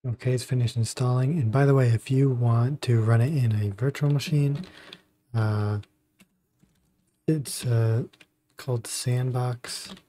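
Dark, mellow-voiced man, slow and halting. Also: mid-range voice, fairly even intonation, dry recording. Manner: halting, casual. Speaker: tutorial host. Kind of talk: casual screen-recorded software walkthrough. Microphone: close budget desktop microphone.

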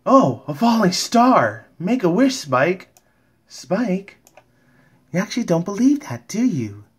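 A man acts out a female cartoon pony for a fan comic dub. The voice sits high and sounds startled, full of questions. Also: sing-song, slow, slightly rough, exaggerated voice. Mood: curious.

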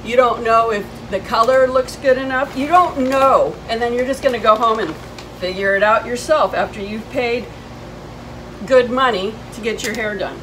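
A woman's voice: fairly high pitch, normal speed. A woman in her fifties chatting casually to camera, telling a personal story in an indignant, emphatic way, recorded outdoors on a porch. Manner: indignant, emphatic, retelling exchanges. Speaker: vlogger. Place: covered porch outside a house. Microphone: camera a few steps away. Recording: clearly noisy outdoor ambience.

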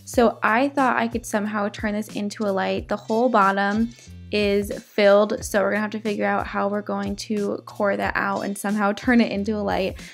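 Chatty woman with a gravelly voice. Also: medium pitch, level tone, normal speed, soft background music. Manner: chatty, upbeat, fillers. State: sick, voice cracking. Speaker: DIY vlogger. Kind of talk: casual crafting voice-over. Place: home, indoors.

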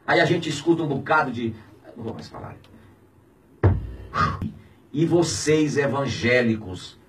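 A man in his fifties with a Brazilian accent, a pastor speaking out in an indignant, preaching tone on the radio, swinging between shouts and low, heavy words. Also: measured pace, recorded from a distance, a little hiss or hum. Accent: Brazilian Portuguese. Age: 50s. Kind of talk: impassioned radio commentary. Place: small radio studio. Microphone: studio mic on a boom, filmed from a distance.

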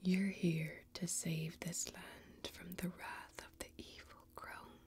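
A young woman playing a tough, watchful female warrior in a fantasy ASMR role-play, whispering breathily and deliberately, with long pauses. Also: on the low side, level tone, a little mellow. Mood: grave, knowing. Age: young adult. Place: small indoor bar set with bottles. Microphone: close ASMR microphone.

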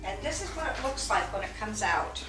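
A woman's voice: mid-range voice, expressive, fairly quick. An older woman demonstrating a recipe in her home kitchen, chatting casually and correcting herself as she works. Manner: chatty, self-correcting, talks while working with her hands. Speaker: home cooking vlogger. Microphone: far camera. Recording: roomy kitchen sound, mic at a distance, music behind.

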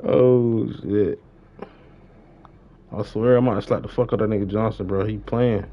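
A man's voice: on the low side, fairly even intonation, a little slow, dull audio. A young adult man chatting casually on a gaming livestream in slangy, informal speech with plenty of swearing. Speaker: game livestreamer.

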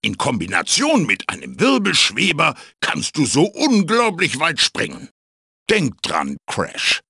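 An actor voicing a wise, bossy old magical wooden mask in a video game, raspy and theatrical, urging and instructing like a mentor. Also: male voice, a little high, huge pitch swings, unhurried, sharp tone, dry recording, clean studio sound, full character voice. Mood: instructive, encouraging. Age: older. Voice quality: raspy, gravelly. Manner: theatrical, emphatic, exhorting. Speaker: guide and tutorial mentor. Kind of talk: video game character voice acting.

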